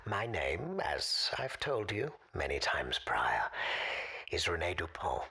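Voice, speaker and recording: A mysterious, forgotten man calling in to a supernatural radio drama. His voice is very husky and creaky, with a sharp edge. He speaks in a formal, deliberate way, weary of not being remembered. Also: low voice, very animated, exaggerated voice. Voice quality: raspy, gravelly. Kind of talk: audio drama acting.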